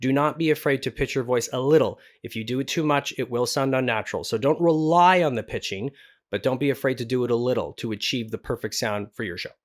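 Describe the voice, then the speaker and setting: Man, casual tutorial host, mid-pitched voice, informal, instructional, self-deprecating. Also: quick pace. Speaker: host, voice actor giving a tutorial.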